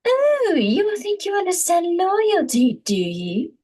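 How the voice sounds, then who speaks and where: A woman's voice: very high voice, wildly swooping pitch, slow pace, mellow, pitched above the performer's own voice, heavily acted. A woman voicing an unhinged evil witch, taunting with melodramatic scorn. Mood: scornful taunting.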